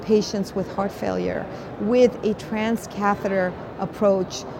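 A woman's voice: medium pitch, fairly even intonation, takes it slowly. A woman doctor hosting an interview at a medical conference, speaking in an effusive, emphatic way. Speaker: host, interventional cardiologist. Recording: clearly noisy conference background.

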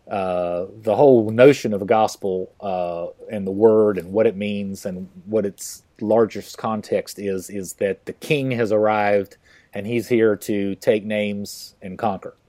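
A man's voice: fairly low pitch, measured pace, warm tone, dry recording. A man hosting a podcast interview, talking casually with many fillers and restarts. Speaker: podcast host.

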